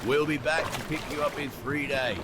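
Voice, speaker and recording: An actor playing a courteous, concerned ship captain in a video game, formal and deferential. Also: male voice, fairly high pitch, fairly even intonation, moderate pace, lightly acted. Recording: very noisy boat and sea ambience.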